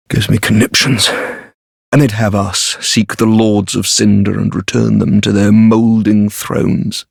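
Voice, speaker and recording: A man voicing a bitter, defeatist warrior in a fantasy game, gravelly and husky, with a sneering, world-weary drawl. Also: lowish voice, dry recording, studio quality, exaggerated voice. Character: bitter, defeatist, sardonic male deserter warrior.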